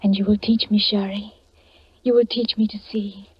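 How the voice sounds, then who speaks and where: An actress playing a gentle, trusting young blind peasant woman, earnest and tender in 1940s radio drama style. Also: mid-range voice, little pitch movement, moderate pace, husky. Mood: tender, devoted. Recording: muffled, noisy 1940s radio recording.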